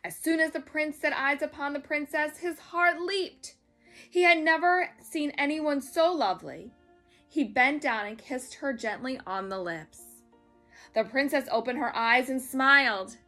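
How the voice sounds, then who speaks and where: A woman storyteller reading a children's story aloud in a dramatic, expressive way. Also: high-pitched, unhurried, faint music behind.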